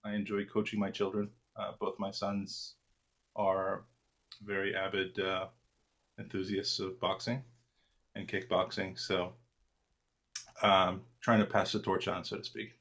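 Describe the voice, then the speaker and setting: A man in his forties, born in Argentina, answering interview questions in a relaxed, self-deprecating way with many fillers and restarts. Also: deep voice, measured pace, creaky, long pauses. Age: 40s. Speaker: trader, interview guest.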